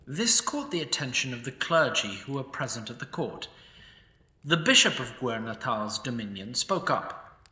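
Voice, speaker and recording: Male narrator, dry and wry, very bright voice, distant and echoey. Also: mid-range voice, very animated, moderate pace. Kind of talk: scripted comic fantasy narration.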